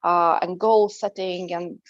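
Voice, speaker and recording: A woman's voice: lowish voice, slow pace, dry recording. Guest, woman, Russian native speaking English, matter-of-fact, muffled dead-dry mic. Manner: calm, matter-of-fact, with hesitant fillers. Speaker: language teacher and guest. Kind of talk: interview answers. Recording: dull dry lo-fi sound.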